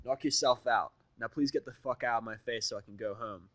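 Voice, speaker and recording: A man's voice: mid-range voice, brisk, fairly bright tone, no room sound, close to an everyday voice. Guy playing cocky sweary human in a fanfic drama, sarcastic, argumentative, dry recording, normal mid voice. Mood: exasperated. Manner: brash, sarcastic, confrontational. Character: defiant foul-mouthed human man, bluffing and scolding.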